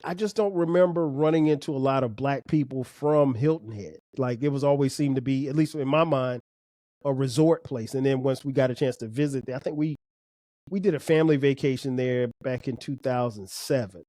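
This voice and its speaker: A man hosts a podcast interview and asks long, loose questions in a friendly back-and-forth. His pitch sits in an ordinary middle range. The sound is dry and close, with no room echo. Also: a little fast, mellow. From Florence, South Carolina. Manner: easygoing, laughs often, rambling questions. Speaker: podcast host. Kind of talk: casual interview conversation.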